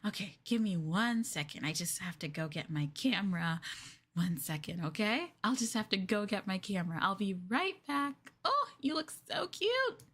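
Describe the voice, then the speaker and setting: A woman voicing a sweetly possessive, obsessive young girlfriend in an ASMR roleplay, coaxing and cooing between soft speech and whispers. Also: medium pitch, very animated, moderate pace. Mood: giddy, adoring. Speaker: ENVtuber streamer performing ASMR roleplay.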